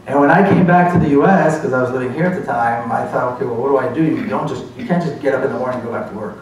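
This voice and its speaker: A man's voice: medium pitch, rapid-fire, slight background noise. A man in his forties from Israel with an Israeli accent, earnestly telling his story in a university lecture. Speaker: speaker. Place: lecture hall. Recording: echoey hall from far off.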